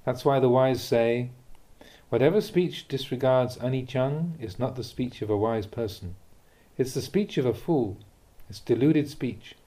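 A man reading a Buddhist teaching aloud from a script in a measured, deliberate, instructive manner. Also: medium pitch, dark tone. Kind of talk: scripted reading of a dharma talk.

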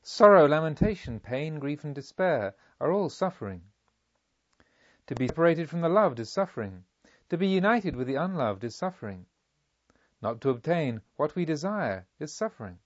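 A slightly dull, dry recording of a serene spiritual master as a male narrator reads him. He teaches a disciple in a storybook, solemn and deliberate, with emphatic rhetorical phrasing and a slightly high male voice. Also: very animated, no room sound.

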